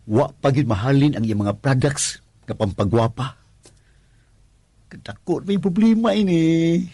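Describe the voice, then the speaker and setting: An actor with a Cebuano Filipino accent playing an anxious, stammering working-class husband in a radio drama. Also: male voice, on the high side, gravelly, warm tone, husky, no echo, heavily acted. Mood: worried, brooding. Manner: stammering, hesitant. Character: anxious working-class condo worker, husband worried about wife's debts.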